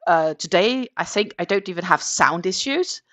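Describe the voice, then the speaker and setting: A woman's voice: fairly low pitch, lively intonation, normal speed, fairly bright tone. A Danish-born woman hosting a casual morning crochet livestream in English, chatting in a rambling way with lots of fillers. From Denmark.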